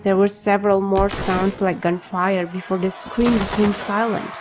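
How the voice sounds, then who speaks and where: A woman's voice: medium pitch, little pitch movement, normal speed. Woman narrating drama over prominent music. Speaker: narrator of fiction podcast, first-person.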